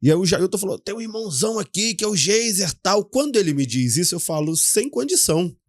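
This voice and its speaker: A man with a Brazilian Portuguese accent, a pastor hosting a podcast, speaking in a measured, conversational way with frequent fillers. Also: high voice, very animated, no echo. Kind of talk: casual interview conversation.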